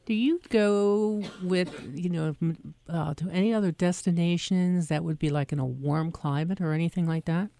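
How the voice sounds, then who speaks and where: Warm, low-voiced South Jersey woman host. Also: moderate pace, vocal fry, hardly any pauses, no echo. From South Jersey, near Philadelphia. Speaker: show host. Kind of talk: casual interview chat.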